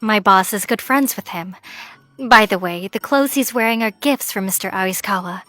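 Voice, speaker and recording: A woman's voice: medium pitch, moderate pace, a bit bright, no room sound, soft background music, natural voice. A woman playing a composed, loyal young female employee in a manga story dub, polite, matter-of-fact and encouraging. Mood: calm, smug.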